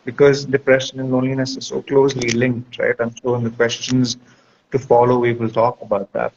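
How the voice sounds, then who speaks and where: Man, mid-pitched voice, reflective counselor, conversational advice, muffled audio with noise. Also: level tone, fairly quick, darkish tone. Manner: reflective, explanatory, checks with "right?". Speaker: guest speaker, school counselor. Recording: dull lo-fi audio with clear background noise.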